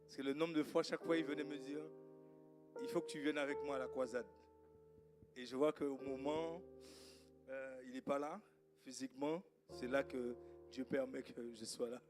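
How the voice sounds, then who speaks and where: A man from Guadeloupe with a Guadeloupean accent, whose first language is Creole, greeting a congregation as guest preacher in a halting way with many fillers. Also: mid-range voice, steady tone, music in the background. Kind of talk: informal welcome before a sermon. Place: church service.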